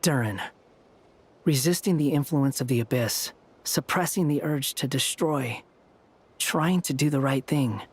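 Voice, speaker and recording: A man voicing an aloof, guarded young puppet wanderer in a video game, speaking in a cool, measured way. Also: mid-range voice, breathy edge. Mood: firm, sober. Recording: game music behind.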